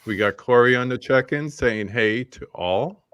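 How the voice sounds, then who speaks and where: A man's voice: medium pitch, unhurried, a crowd in the background. A middle-aged man hosting a live roundtable stream, casually greeting viewers from the chat. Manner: laid-back, shouting out chat viewers. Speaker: host.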